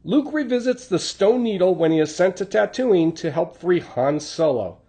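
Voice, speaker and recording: A man's voice: sits high, lively intonation, moderate pace, warm tone. A man reading scripted narration, recapping stories in the role of a channel host.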